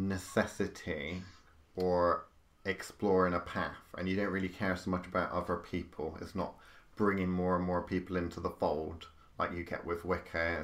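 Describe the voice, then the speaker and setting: A man hosts a podcast interview in a very deep voice. He builds his questions slowly and at length, with hesitations and restarts along the way. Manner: rambling, long-winded questions with hesitations. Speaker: podcast host. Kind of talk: casual interview chat.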